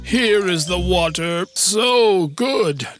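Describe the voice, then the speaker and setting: Slow, swooping greedy frog, acted out by a storyteller. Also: medium pitch, lively intonation, faint music behind. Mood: smug, greedy. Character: greedy, stubborn male frog with bloated belly. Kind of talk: children's storybook character acting.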